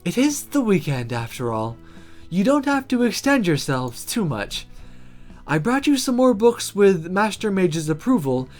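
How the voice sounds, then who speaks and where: A woman's voice: medium pitch, very animated, unhurried, a little mellow, soft background music. A woman voicing a mild, watchful female magic teacher in a visual novel, speaking politely and questioningly. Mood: mildly suspicious.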